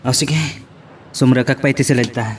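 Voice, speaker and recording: A man's voice: mid-range voice, steady tone, a little fast, natural voice. A man with a Filipino Ilocano accent acting an evasive, brooding husband in a radio-style romance drama, curt and irritable. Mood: weary, evasive. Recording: distant mic with background noise.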